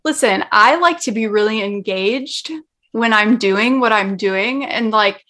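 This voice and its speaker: A woman's voice: medium pitch, a little slow, sharp tone. A woman guest in casual conversation, talking earnestly and rambling with lots of fillers. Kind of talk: casual chat.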